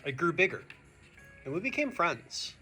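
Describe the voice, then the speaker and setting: Animated male teacher reading aloud, bright voice. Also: fairly high pitch, moderate pace, faint music behind. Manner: expressive, addressing young listeners directly. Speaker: teacher reading a picture book to students. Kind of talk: animated children's read-aloud.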